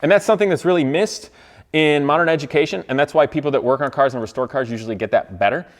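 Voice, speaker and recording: A man's voice: on the high side, quick pace. A man hosting a car-building video, explaining the work casually and hands-on, with rambling colloquial asides. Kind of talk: casual explanatory talk to camera and camera operator. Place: car workshop. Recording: echoey hall-like workshop reverb.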